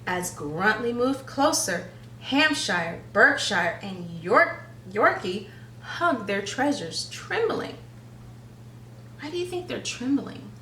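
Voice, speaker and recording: A woman reading a story aloud to young children with dramatic, deliberate phrasing and questions to the listeners. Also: on the high side, very animated, pushed and bright. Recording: echoey room, distant mic, faint noise.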